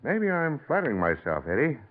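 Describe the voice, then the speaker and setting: An actor playing a grave, worried middle-aged district attorney under threat, in 1940s radio drama style. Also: male voice, medium pitch, huge pitch swings, brisk. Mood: grave, reflective. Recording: tinny old radio recording.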